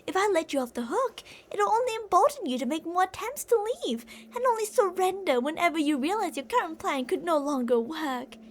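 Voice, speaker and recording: Obsessive female yandere captor played by a woman, ASMR roleplay: high, very animated, theatrical, sugary endearments laced with menace. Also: slight background noise, heavily acted. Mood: scolding, sweet. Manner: theatrical, teasing sing-song with endearments and threats. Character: older obsessive yandere woman kidnapper, possessive, menacing, sweet-talking.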